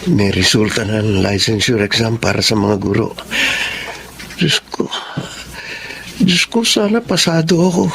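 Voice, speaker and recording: Young filipino tutor in a radio drama, humble and pleading, croaky fry in his voice. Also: on the low side, animated, a little slow, long pauses, fairly bright tone, a little hiss or hum, heavily acted. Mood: anxious. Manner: pleading, polite and deferential. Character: earnest young aspiring teacher, dejected son, apologetic tutor.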